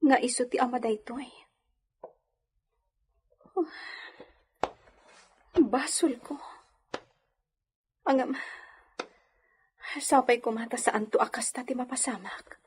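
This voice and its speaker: A woman's voice: fairly high pitch, slow, long pauses, darkish tone, breathy edge, exaggerated voice. A woman in a radio drama playing a troubled young married woman brooding over her family. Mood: regretful.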